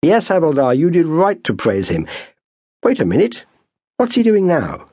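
A man's voice: a little high, wildly swooping pitch, moderate pace, dark tone, no room sound, pitched above the performer's own voice, heavily acted. A man doing a blustering toff officer. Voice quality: plummy, blustering. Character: young male British officer, dim and pompous.

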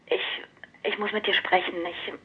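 An actress playing a troubled woman in a radio drama, leaving a halting, awkward plea for help on an answering machine. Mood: awkward, pleading. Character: troubled woman asking an old acquaintance for help. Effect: answering machine filter. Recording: dull, lo-fi answering machine playback.

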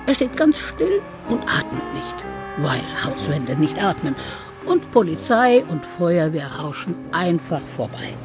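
Low-voiced, expressive woman storyteller. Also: unhurried, music in the background. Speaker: audiobook narrator. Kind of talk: scripted children's story narration.

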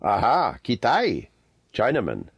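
A man's voice: medium pitch, wildly swooping pitch, measured pace, creaky, husky, no echo, muffled recording, heavily acted. A man voicing a proud, scornful young Indian boy in a storybook reading.

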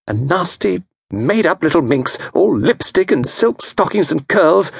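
A man's voice: on the high side, big pitch swings, vocal fry, slightly rough, no room sound, clean studio sound, voice pushed up, exaggerated voice. A man voicing an aggrieved middle-aged wife, bursting out with jealous complaints. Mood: indignant. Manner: rushed, complaining outbursts.

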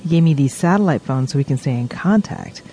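A woman's voice: deep voice, animated, quick pace, warm tone, a little hiss or hum. An actress playing a level-headed woman on a covert mission in an audio drama, speaking in a dry, wry way. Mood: matter-of-fact.

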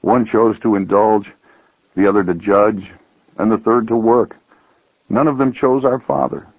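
A man voicing a calm, patient, merciful eldest brother in a parable, speaking in a measured, gentle way. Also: fairly low pitch, dry recording, narrow, tinny audio. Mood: sad, gentle. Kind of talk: dramatized parable storytelling.

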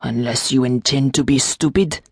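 A small, wry gargoyle demon played by a woman narrator speaks in a lilting French accent. The voice is low and husky, dry and close, with courtly, fretful phrasing fit for a fantasy audiobook. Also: steady tone, moderate pace, a little mellow, no room sound, heavily acted. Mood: dry, disapproving. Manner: formal, courtly phrasing with endearments.

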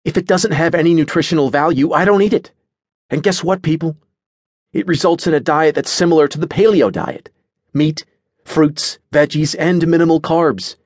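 A man voicing an excitable, opinionated young man in an audiobook story, eager and emphatic. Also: a little high, moderate pace, no room sound, clean studio sound, voice pushed up, natural voice. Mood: enthusiastic, opinionated.

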